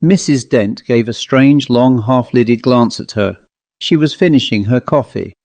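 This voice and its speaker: A male audiobook narrator reads an old ghost story. His voice is medium in pitch, measured and expressive. The sound is dry, with very little room to it. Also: no echo.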